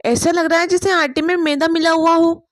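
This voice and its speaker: A woman voicing a capable, caring elder sister-in-law with an instructive, gently firm manner, in storybook dialogue. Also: extremely high pitch, fairly even intonation, moderate pace, no echo, clean studio sound. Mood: suspicious.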